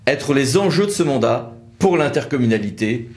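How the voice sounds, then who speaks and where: A man's voice: medium pitch, lively intonation, normal speed, a little mellow. A man hosting a news briefing and interview, presenting in a scripted style. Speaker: host and presenter.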